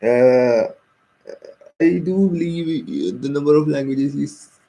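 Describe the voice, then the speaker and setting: A young Indian man speaks slowly and haltingly, stopping often for fillers and fresh starts. His voice is gravelly with a lot of fry. He talks off the cuff to the camera, like a personal video diary. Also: fairly high pitch, mellow, rough recording. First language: Hindi. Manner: hesitant, many fillers and restarts. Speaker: vlogger. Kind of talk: unscripted personal vlog.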